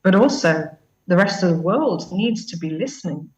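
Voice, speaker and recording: A woman speaking as a guest commentator on a news panel, measured and thoughtful with many fillers. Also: lowish voice, animated. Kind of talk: panel interview answer. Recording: dull, echoey sound from a distant mic.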